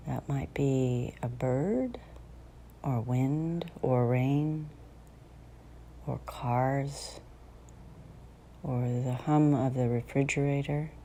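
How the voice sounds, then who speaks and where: A woman guides a meditation in a notably low, mellow voice, soft and close to whispering at times. She speaks very slowly and soothingly, leaving long pauses between phrases. A faint background noise sits behind her.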